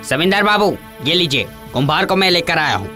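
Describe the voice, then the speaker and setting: A man playing a dutiful henchman of a village landlord in an animated fairy tale. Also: a little high, fairly quick, over music, close to an everyday voice. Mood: businesslike.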